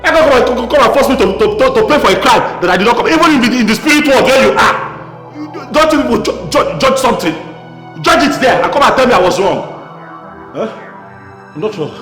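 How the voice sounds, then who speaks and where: Haunted man, Nigerian accent, film drama. Very high, swooping voice, stammering, agitated, self-justifying, in a reverberant space. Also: lively intonation, fast, fairly bright tone. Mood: defiant. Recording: echoey, reverberant hall sound with music.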